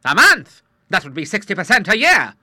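A man voicing a hot-tempered retired admiral of sixty-three, speaking loudly and with affront. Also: a little high, expressive, a little fast, no room sound, clean studio sound, voice pushed up. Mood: indignant. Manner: loud, blustering and affronted.

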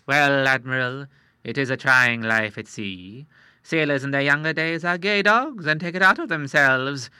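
Man doing a shrewd moneylender, smooth, measured, crisp bright dry sound. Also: medium pitch, dry recording. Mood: cool. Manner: measured and coolly persuasive. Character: shrewd, smooth male moneylender, middle-aged.

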